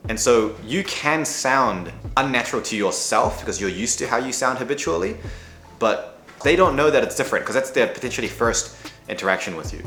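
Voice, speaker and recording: A man's voice: mid-range voice, animated, fairly quick, pushed and bright. A man with an accent blending New Zealand, Australian and American sounds, chatting casually and reflectively with lots of fillers as an education creator. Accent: mixed New Zealand, Australian and American. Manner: reflective, joking, many fillers. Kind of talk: casual behind-the-scenes chat. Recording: roomy studio with music underneath.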